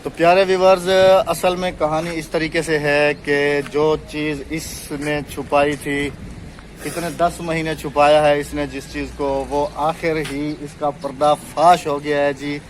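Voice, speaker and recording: A man's voice: fairly high pitch, level tone, measured pace, slight background noise. A man with a Pakistani Urdu accent vlogging from a rooftop, chatting to his viewers and teasing his wife. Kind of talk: casual vlog narration. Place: rooftop outdoors.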